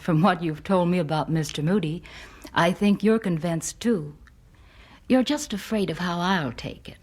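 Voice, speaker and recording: An actress playing a supportive 19th-century wife, gentle, knowing and breathy, in a radio drama. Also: female voice, fairly low pitch, normal speed. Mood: tender, teasing.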